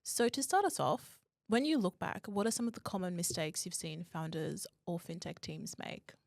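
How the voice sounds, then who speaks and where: A woman's voice: on the low side, expressive, moderate pace, vocal fry, no room sound, studio quality. A woman hosting a podcast interview in a conversational style. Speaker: podcast host.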